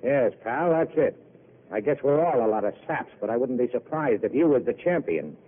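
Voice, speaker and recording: A man's voice: mid-range voice, lively intonation, normal speed, close to an everyday voice. An actor playing a hardened gangster who talks in clipped tough-guy slang, in old radio drama. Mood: menacing. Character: hardened male gangster, terse and menacing. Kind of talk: 1930s radio drama acting. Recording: tinny old broadcast with hiss.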